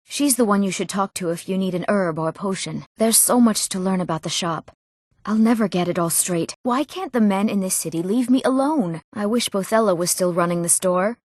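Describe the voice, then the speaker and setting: An actress voicing an eager, earnest young townswoman in a fantasy video game, speaking clearly and expressively. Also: medium pitch, fairly quick, a little mellow, no echo, clean studio sound, natural voice. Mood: chatty, unsure. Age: young adult. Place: recording studio.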